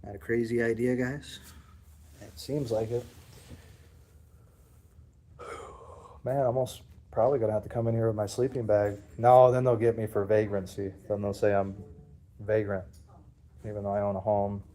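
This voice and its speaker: A man with a deep, low voice rambles defiantly to his viewers during a livestream from a public building. His tone is dark and mellow, and faint ambience hums behind him. He speaks on a phone mic. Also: level tone, moderate pace, long pauses, slight background noise. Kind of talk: casual live commentary.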